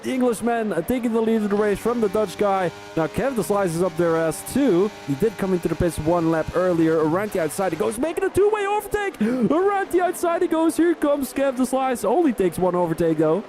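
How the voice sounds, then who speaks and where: A man's voice: sits high, fairly quick, hardly any pauses, a little hiss or hum. Man commentating a race. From Belgium. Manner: rambling chatty asides, rising to excited calls on overtakes. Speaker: sim racing league commentator.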